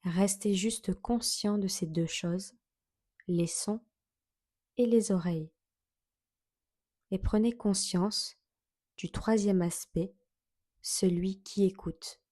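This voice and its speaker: A woman leading a guided yoga relaxation as an instructor, giving soothing, measured instructions with long pauses. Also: mid-range voice, warm tone, no room sound, clean studio sound.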